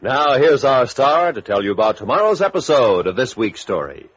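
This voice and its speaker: A man's voice: mid-range voice, sing-song, normal speed, breathy edge, dry recording. A man announcing a 1950s radio show from a script in a polished, emphatic manner. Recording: dull vintage radio broadcast with music.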